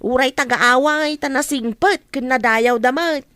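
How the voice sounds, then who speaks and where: A woman's voice: high-pitched, measured pace, bright, forceful tone, no room sound, clean studio sound. A woman with an Ilocano Filipino accent acting a meddlesome, easily offended village mother in a radio drama, exclaiming and questioning. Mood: nosy, excited.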